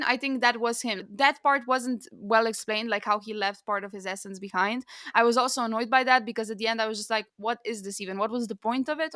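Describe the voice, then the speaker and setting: Woman, podcast co-host, slightly high pitch, bright tone, dry sound, informal, opinionated, casual book chat. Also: dry recording. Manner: informal and opinionated, peppers speech with "like".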